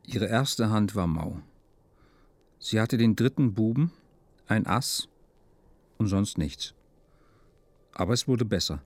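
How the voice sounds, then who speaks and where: A man's voice: fairly low pitch, expressive, takes it slowly, pauses often, dry recording. A man narrating a literary radio drama from a script in a sober, matter-of-fact way. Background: music.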